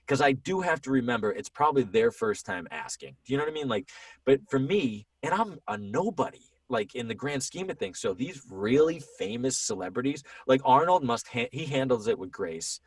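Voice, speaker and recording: A man's voice: mid-range voice, husky, no echo, recorded from a distance. A man in his thirties with a Boston accent, a guest voice actor in a casual podcast chat who tells his stories with animation. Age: 30s. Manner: animated storytelling, quick voice switches.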